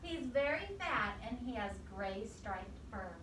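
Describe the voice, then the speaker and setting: A woman reading a storybook aloud to young children, expressive, pausing to ask simple questions and repeat answers. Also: fairly high pitch. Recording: echoey, distant mic, clear background noise.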